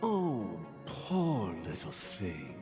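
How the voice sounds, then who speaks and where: An actor playing a gentle, theatrical guardian figure talking to children in a measured, indulgent, storytelling way for a video game. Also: medium pitch, wildly swooping pitch, heavily acted. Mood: gently curious. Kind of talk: scripted video game voice acting. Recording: long hall reverb under clear music.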